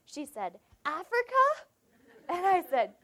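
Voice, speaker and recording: Woman, South Carolina Southern accent, young adult, high voice, storytelling sermon, acts out dialogue. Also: huge pitch swings, takes it slowly, bright, forceful tone. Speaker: preacher. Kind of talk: devotional sermon with personal story.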